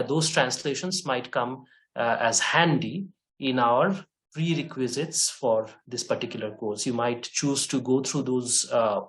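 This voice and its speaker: A man with a mid-range voice talks through a point in an online course discussion. He hesitates and restarts often, filling his pauses with "uh". English is his third language. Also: medium pitch, fairly bright tone. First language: not English. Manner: hesitant, many fillers and restarts. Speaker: course instructor.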